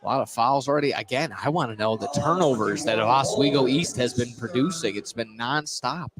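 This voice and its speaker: A man's voice: medium pitch, a little fast. An American man giving informal live basketball analysis as a color commentator, often repeating his words and phrases. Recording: clearly noisy gym ambience.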